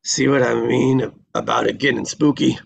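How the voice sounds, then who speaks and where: Gravelly man reading stage directions. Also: medium pitch, fairly even intonation, normal speed. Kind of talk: scripted narration.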